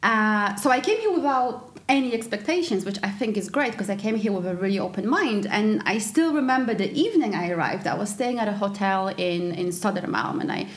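A woman's voice: medium pitch, lively intonation, a little fast, few pauses. Polish-born woman telling her travel story. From Poland. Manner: reflective storyteller, frequent fillers and restarts, laughs easily. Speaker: podcast guest. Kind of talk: casual interview chat.

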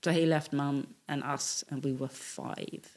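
A woman's voice: deep voice, fairly even intonation, measured pace, a little mellow. A woman in her fifties, born in Tanzania and raised in Sweden, chatting casually about her life, laughing often and trailing off mid-sentence. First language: English first, then Swedish. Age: 50s. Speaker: guest telling her life story. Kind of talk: casual conversational storytelling.

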